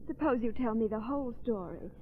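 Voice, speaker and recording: A woman's voice: on the high side, fairly quick, natural voice. An actress playing a poised, caring and persistent young woman in 1940s radio drama style. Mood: concerned, insistent. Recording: tinny old radio recording, hiss.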